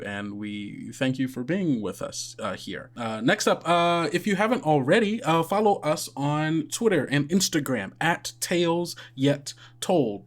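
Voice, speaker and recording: A man hosting a podcast and running a game, chatting casually with rambling, self-correcting, jokey asides. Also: fairly high pitch. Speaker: podcast host and game master.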